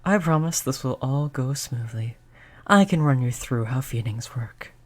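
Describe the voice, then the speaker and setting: A performer voicing a polite vampire who looks like a child, gentle, reassuring and chatty in an audio roleplay. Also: medium pitch, expressive, unhurried. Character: polite vampire turned young, stuck looking like a child. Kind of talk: audio roleplay spoken to the listener.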